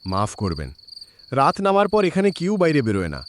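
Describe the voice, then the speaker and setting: Horror cartoon shopkeeper male actor: rural, guarded, foreboding, with an animated swing and faint crickets behind. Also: fairly high pitch, brisk, slight background noise. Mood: ominous. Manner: ominous, cautioning. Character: wary village shopkeeper warning a stranger of a curse.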